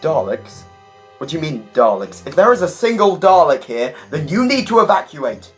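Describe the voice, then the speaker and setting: A man plays a quirky alien time traveller, wry and quick-witted. His mid-pitched voice swings with lively inflection, in audio drama style over faint music. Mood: alarmed.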